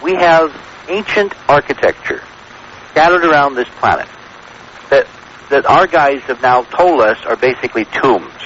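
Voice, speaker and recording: A man's voice: medium pitch, lively intonation, normal speed, a bit bright. An American man as a radio guest, explaining his theories in an assertive, lecturing way and checking that his points land. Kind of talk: radio interview, explanatory talk. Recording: noisy, dull vintage radio broadcast.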